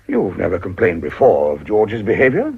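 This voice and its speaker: An actor playing a blustering upper-class English father of middle age in a period radio drama, husky and formal, anxious about money. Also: on the low side, wildly swooping pitch, normal speed, slight background noise. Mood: stern, pompous. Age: middle-aged. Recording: dull, lo-fi radio recording.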